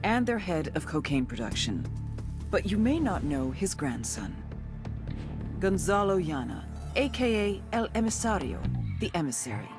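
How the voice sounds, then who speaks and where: A woman's voice: fairly low pitch, very animated, moderate pace. A woman playing a cool, calculating intelligence handler with an American accent, giving video game briefings in a dry, commanding way. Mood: crisp briefing. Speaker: briefing officer. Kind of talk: cinematic game briefing narration. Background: music.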